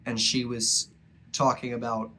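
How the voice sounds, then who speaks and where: A young man with a cold and a stuffy nose, talking earnestly and haltingly in a personal reflective monologue. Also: medium pitch, level tone, bright, forceful tone. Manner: earnest, halting, with frequent pauses. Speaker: vlogger.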